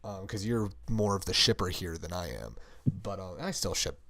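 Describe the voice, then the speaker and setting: Hoarse young man with a scratchy voice. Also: lively intonation, normal speed, fairly bright tone, no echo. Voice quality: deep, gravelly, scratchy. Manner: rambling, upbeat, laughs often. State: hoarse, voice strained from screaming. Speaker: podcast co-host. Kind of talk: casual chat.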